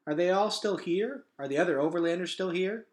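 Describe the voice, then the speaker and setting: A man's voice: high-pitched, a little fast, pitched above the performer's own voice, close to an everyday voice. Man reading as a blunt, wary boy, echoey room. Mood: questioning. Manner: blunt, questioning. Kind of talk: children's novel read-aloud.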